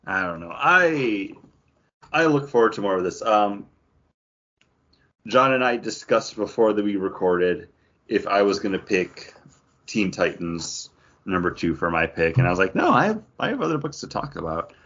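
Guy hosting a podcast, bright voice but a bit husky, casual talk, dull lo-fi sound. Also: fairly low pitch, very animated, moderate pace. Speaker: podcast host moderating discussion. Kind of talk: casual comic book review chat.

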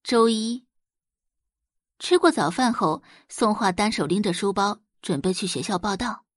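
Expressive, husky female narrator. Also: mid-range voice, unhurried, dry recording, clean studio sound. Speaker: audiobook narrator. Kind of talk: dramatic scripted narration.

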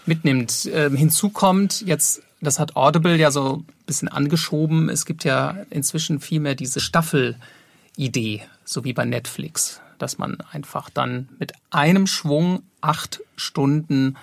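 A man's voice: a little high, a little slow, creaky, no echo. A man speaking conversationally in an interview as an audio drama producer.

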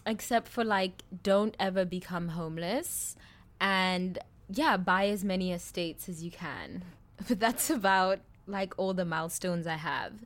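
A South African woman in her twenties talks openly on a podcast, with a mild South African accent. She is blunt and crude in a relaxed, joking way, and she breaks into laughter often. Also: fairly low pitch, measured pace. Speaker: podcast co-host. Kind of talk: casual chat.

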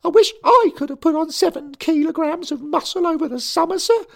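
A man voicing a sulky, furious 13-year-old boy ghost who shouts shrilly and calls everyone sir. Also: very high-pitched, expressive, fairly quick, gravelly, voice pushed up, heavily acted. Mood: furious whining.

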